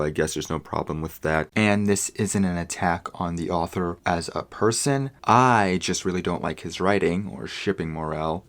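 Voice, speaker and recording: A man narrating a scripted, opinionated video review in an emphatic, sarcastic, ranting manner. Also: low-pitched.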